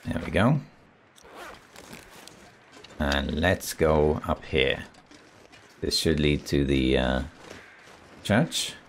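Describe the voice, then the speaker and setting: A man with a raspy voice, still getting over a cold, calmly commenting as he plays a survival game and thinks aloud. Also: very deep voice, extremely slow, long pauses, slight background noise. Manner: relaxed, thinking aloud while exploring. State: recovering from a cold. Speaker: gameplay commentator. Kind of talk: calm let's play commentary.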